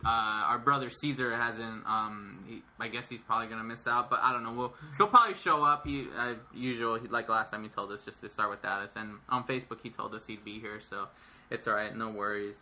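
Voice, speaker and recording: A man in his thirties with a high voice, rambling and laughing often as a podcast co-host in casual chat. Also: brisk, sharp tone. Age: 30s.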